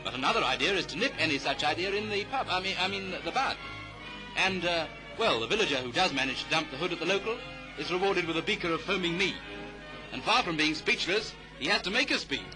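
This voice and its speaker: High-pitched British 1930s newsreel man. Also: lively intonation, fast talker, low-quality recording. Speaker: newsreel narrator. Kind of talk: 1930s British newsreel commentary, scripted narration. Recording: vintage 1930s newsreel soundtrack. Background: music.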